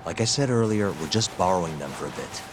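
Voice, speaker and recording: Deep-voiced, wry detective hero. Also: male voice, lively intonation, noisy background. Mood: calm. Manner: dry, measured, wry. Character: cool, wry lawyer-detective hero, calm and principled. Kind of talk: video game cutscene acting.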